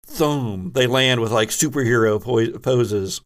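A man with a slow, measured delivery runs a tabletop role-playing game. He sets scenes dramatically as game master, in a mid-pitched voice.

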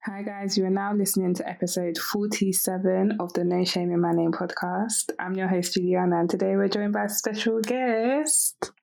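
A woman's voice: medium pitch, fairly even intonation, normal speed, gravelly, hardly any pauses, a little mellow. A woman hosting a podcast, asking questions in a friendly, upbeat way. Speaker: podcast host. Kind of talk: casual interview chat.

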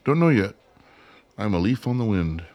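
A man's voice: lowish voice, big pitch swings, moderate pace, darkish tone. A man in a radio play playing a guarded, awkward lone traveler who speaks tersely and hesitantly.

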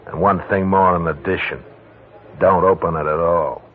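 A gruff hoodlum, voiced by a man, growls out blunt, ungrammatical warnings from the very bottom of his register. The sound is thin and tinny, like an old radio broadcast. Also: low voice, fairly even intonation, moderate pace, natural voice. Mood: menacing. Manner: blunt, ungrammatical street talk. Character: gruff tough-talking male crook.

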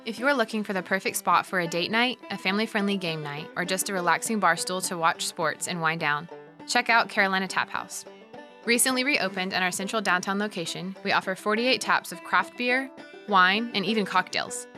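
A woman reads a scripted radio commercial in a bright, forward voice. Her pitch sits in the middle range, with faint music underneath. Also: brisk.

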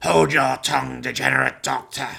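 Man acting a gravelly, imperious dark overlord. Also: medium pitch, steady tone, heavily acted. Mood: angry. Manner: slow, haughty, pompous threats. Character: dark, menacing evil master, imperious and contemptuous. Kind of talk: comic horror radio play villain.

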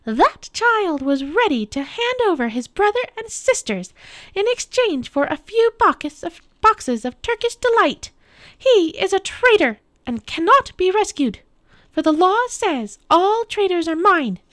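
A woman's voice: extremely high pitch, animated, a little slow, a bit bright, no room sound, pitched above the performer's own voice, exaggerated voice. Screechy, imperious witch queen. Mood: furious, demanding. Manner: commanding, gloating. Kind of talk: dramatic storybook reading.

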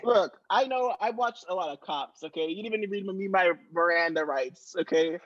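Higher-voiced man doing improv comedy, slightly muffled. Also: normal speed, a bit bright.

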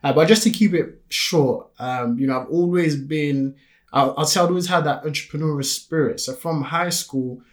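A man's voice: on the high side, moderate pace. A man raised in France whose first language is French, co-hosting a podcast in eager, chatty talk full of fillers. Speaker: podcast co-host. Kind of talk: casual podcast chat.

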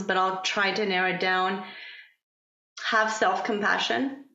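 Gentle woman, native Farsi speaker, roomy call. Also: mid-range voice, dull audio. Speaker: podcast guest, parent coach. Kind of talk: casual interview chat.

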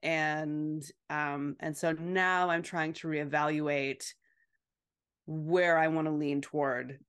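A woman answering as a podcast guest in casual, reflective chat, halting and then quickening. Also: deep voice, steady tone, fairly bright tone, clean tone.

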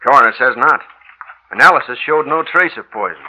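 A clearly noisy old radio recording of a businesslike working man acted by a man. He is mid-pitched, terse and matter-of-fact, in the manner of 1940s radio drama. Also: lively intonation, a little fast, muffled recording, lightly acted.

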